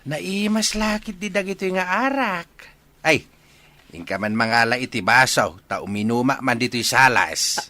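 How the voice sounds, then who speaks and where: A man's voice: mid-range voice, animated, normal speed, pushed and bright, no echo. A man playing a boozy, brash freeloading father in an Ilocano radio comedy drama, drawling and boastful with an Ilocano accent. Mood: brash, demanding.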